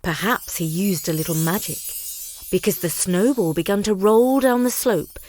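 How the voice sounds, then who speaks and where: A woman narrating a bedtime story for children in an expressive, deliberate storyteller's manner. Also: mid-range voice.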